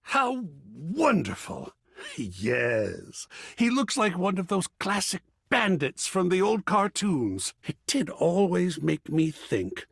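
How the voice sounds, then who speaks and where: A pretentious, passionate male art curator for a video game. His husky voice sits a little high and leaps dramatically up and down. He takes his time, relishing words with theatrical flamboyance. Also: huge pitch swings, slow pace, fairly bright tone, no room sound, clean studio sound, exaggerated voice. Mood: delighted, playful. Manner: dramatic, swooping, savoring words. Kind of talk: theatrical game character dialogue.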